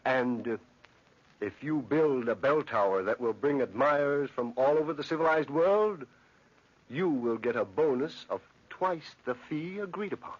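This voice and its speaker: Dignified town magistrate, medium pitch, courteous, dry, formal, vintage radio drama, dull lo-fi recording. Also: male voice, animated. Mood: businesslike. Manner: formal, measured, throat-clearing politeness. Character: courteous, dryly patient chief magistrate of a rich town. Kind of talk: 1940s radio drama acting.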